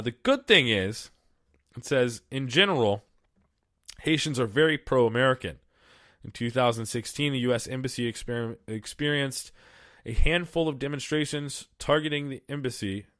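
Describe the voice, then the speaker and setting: A man's voice: medium pitch, a bit bright, no echo, studio quality. A man hosting a solo podcast, reading aloud and then riffing in a casual, profane, rambling way. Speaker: podcast host. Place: small studio.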